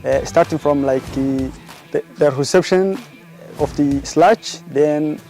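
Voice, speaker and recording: A man's voice: a little high, slow, mellow, faint music behind. A man from Somalia, interviewed as a trainee, hesitant with many fillers. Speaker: aid worker, trainee.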